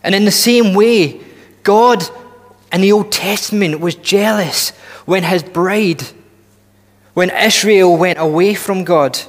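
A man in his thirties preaching a sermon in a church hall on a headset mic, earnest and deliberate, often restarting and building emphasis. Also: sits high. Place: church hall with long reverb.

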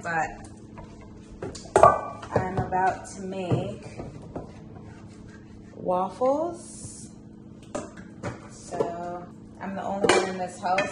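A woman's voice: fairly low pitch, heavy background noise. A middle-aged woman with a Southern US accent, chatting casually to her viewers while filming at home. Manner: chatty, addresses viewers directly. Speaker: vlogger and mother. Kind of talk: casual vlog narration. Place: home apartment kitchen and living room. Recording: echoey room with music over it.

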